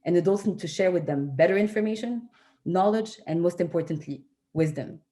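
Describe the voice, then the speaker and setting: A woman delivering a prepared advocacy speech as an education activist, speaking earnestly in measured, deliberate phrases. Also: fairly low pitch, warm tone. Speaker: youth education movement co-founder, speaker.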